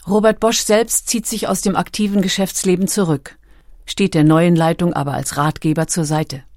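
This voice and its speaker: A woman reading scripted documentary narration in a measured, factual manner. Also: fairly low pitch, steady tone, a little mellow, no room sound, studio quality.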